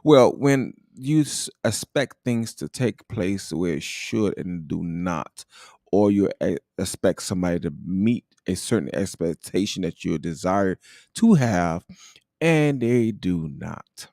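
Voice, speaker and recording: A man's voice: sits low, animated, slow, darkish tone, dry recording, clean studio sound. A man in his thirties co-hosting a casual livestream talk, joking and stumbling over words. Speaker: co-host.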